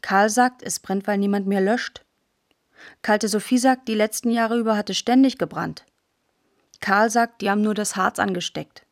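Woman narrator, mid pitch, reading lit prose for radio, terse and plain. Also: fairly even intonation, moderate pace. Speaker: narrator in a radio literary feature. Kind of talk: scripted literary reading.

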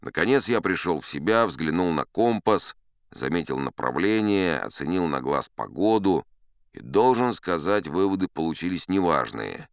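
Man acting a deep, smooth old sea captain. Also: very animated, dry recording, clean studio sound. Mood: rueful, wry. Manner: theatrical, conversational asides, dramatic pauses and swells. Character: old sea captain spinning tall tales, boastful, unflappable. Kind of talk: dramatised audiobook storytelling.

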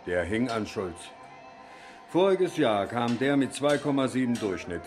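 An actor playing a blunt, busy garage boss in a radio crime drama, brusque and colloquial. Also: male voice, on the low side, close to an everyday voice.

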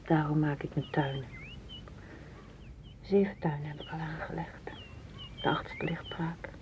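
An actress playing a solitary, dreamy woman in a radio drama, speaking in a hushed, poetic way. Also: lowish voice, slight background noise. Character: solitary, dreamy, independent woman longing for open space. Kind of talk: literary radio drama acting.

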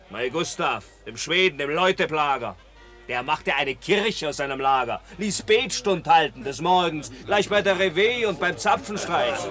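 An actor playing a boastful, swaggering soldier in a radio drama, bragging loudly. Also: male voice, a little high. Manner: boisterous, swaggering.

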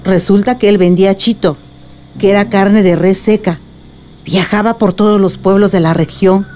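A woman's voice: medium pitch, fairly even intonation, moderate pace, dark tone, slight background noise, lightly acted. A woman playing a wise, tender old village grandmother in a radio drama, telling stories consolingly with a Mexican accent. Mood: solemn, reminiscing. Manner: consoling, storytelling.

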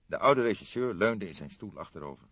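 Low-voiced male audiobook narrator. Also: expressive, fairly quick, a bit bright, breathy edge, dry recording, dull audio. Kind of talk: scripted story narration.

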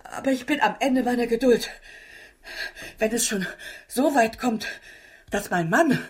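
A woman's voice: on the high side, unhurried, a little mellow, slightly rough. An actress playing a flustered, aggrieved landlady in a German radio drama, speaking in an agitated, insistent way. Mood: indignant, fed up. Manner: agitated, insistent, repeats herself. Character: indignant, flustered landlady at the end of her patience.

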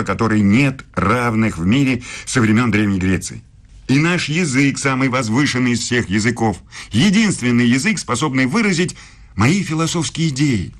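An actor in a radio play voicing a self-assured, evasive German philosophy professor who speaks in a measured, pontificating way. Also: male voice, mid-range voice, natural voice.

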